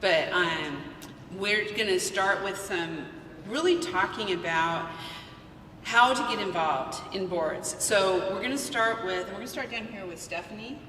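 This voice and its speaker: A woman's voice: a little slow, sharp tone. An American woman casually moderating a panel at a live event. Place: large event hall. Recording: echoey hall, distant, faint noise and rumble.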